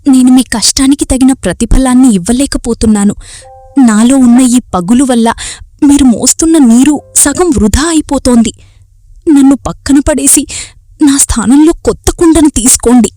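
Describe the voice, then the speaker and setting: A sad little cracked pot from an animated fable , played by a woman, speaks high and soft-edged with a mellow tone. It sounds humble, plaintive and apologetic. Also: moderate pace, dry recording, close to an everyday voice. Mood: apologetic, sorrowful. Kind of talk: animated moral story character acting.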